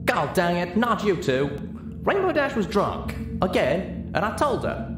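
A man's voice: high-pitched, sing-song, unhurried, echoey room, background noise, pitched above the performer's own voice. A man voicing a feisty, folksy country mare pony with an exasperated, exclamatory manner, reading a story. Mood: indignant.

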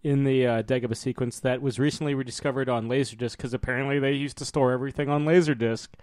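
Male co-host, mid-pitched, very dry close sound, casual and matter-of-fact movie commentary. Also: fairly even intonation, fast talker, a little mellow, no echo. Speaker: film commentary podcaster. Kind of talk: casual film commentary chat.

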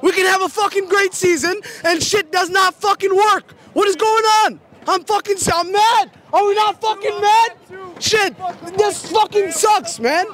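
A man's voice: very high voice, fairly even intonation, measured pace, a bit bright. A young man, a sports fan, ranting furiously and profanely in a street interview at night, into a handheld mic. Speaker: fan interviewed after a game. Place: city street at night outdoors. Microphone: handheld mic held by interviewer.

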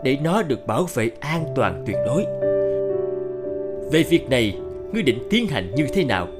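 Give the cartoon a commanding king, played by a man. He is middle-aged, pompous and quick to anger. His pitch moves widely as he issues orders, with music playing behind him. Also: big pitch swings, natural voice. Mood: pleased, commanding. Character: imperious, hot-tempered middle-aged bearded king. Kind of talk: cartoon dub acting.

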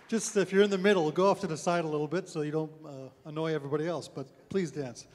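A middle-aged man with a somewhat high voice hosts an onstage interview in an affable, self-deprecating way. He holds a handheld mic, and his voice carries the long reverb of a concert hall. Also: fairly even intonation, quick pace, a little mellow. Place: concert hall stage before an audience.

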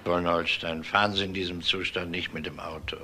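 An actor playing a calm police detective who asks short, probing questions in a crime radio drama. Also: male voice, deep voice, brisk, a little hiss or hum. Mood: probing, courteous. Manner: terse, questioning.

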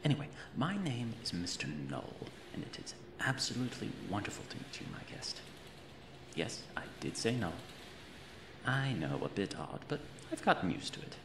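In an echoing space over noisy ambience, a man acts out an eccentric mad reanimator for an ASMR horror roleplay. The male voice is gravelly and swoops widely, theatrical and fussily polite. He is a creepy, cheerful captor who rambles off on tangents. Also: on the low side, very animated, moderate pace. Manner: theatrical, digressive, politely fussy, macabre. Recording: roomy echo with noisy ambient backdrop.

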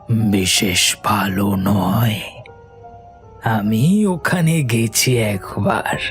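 A man voicing a mysterious, cryptic gentleman in a horror cartoon, deliberate and ominous. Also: fairly low pitch, gravelly, exaggerated voice.

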